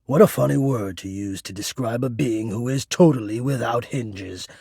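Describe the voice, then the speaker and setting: A shy, lovelorn male spirit who hides in the vents of a video game house. His voice is mid-pitched with a creaky, husky grain, recorded close and dry. He speaks in florid, theatrical phrases, halting and painfully earnest. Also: darkish tone, dry recording. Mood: musing, resolute. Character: anxious, lovelorn, poetic male ductwork spirit hiding in attic. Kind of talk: video game character acting.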